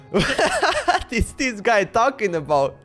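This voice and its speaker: High-voiced young man rambling on stream. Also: huge pitch swings, extremely slow, sharp tone, soft background music. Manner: rambling, slangy, stammering repetitions. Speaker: livestreamer playing a game. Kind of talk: casual livestream chat.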